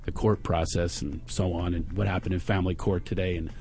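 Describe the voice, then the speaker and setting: Podcast host, Philadelphia man, Philly accent, lowish voice, earnest motivational talk. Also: level tone, a little fast, a little mellow. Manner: reflective, motivational, spiritual encouragement.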